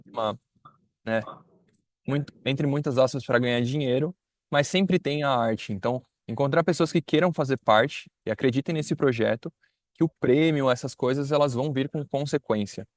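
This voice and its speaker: A man's voice: medium pitch, moderate pace, no room sound, clean studio sound. A young man with a Brazilian accent giving informal interview answers, hesitant and often rephrasing himself. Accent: Brazilian Portuguese. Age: young adult. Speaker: student filmmaker interviewee.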